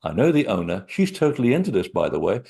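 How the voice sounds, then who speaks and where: A man from Portugal, a guest author, telling digressive stories in an animated way from his small apartment living room over a computer call. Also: on the low side, fast, gravelly, slightly rough. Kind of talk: rambling storytelling interview.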